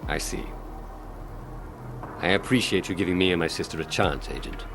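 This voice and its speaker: An actor playing a guilt-ridden, protective police lieutenant in a video game, speaking in an earnest, guarded, halting way. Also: male voice, low-pitched, over music. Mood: resigned. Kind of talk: video game dialogue acting.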